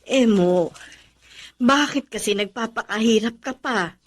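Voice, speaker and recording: A woman's voice: fairly high pitch, a little slow. A woman playing a kindly, motherly older woman in a Tagalog radio drama, doting and solicitous. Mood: doting, worried.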